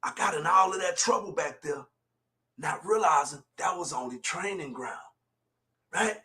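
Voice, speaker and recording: A man, preaching motivation in a colloquial, emphatic and repetitive way, moving between low asides and shouted exhortation. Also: normal speed. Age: 40s. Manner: colloquial, direct address to listeners. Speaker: motivational speaker. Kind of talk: fiery motivational preaching on a livestream.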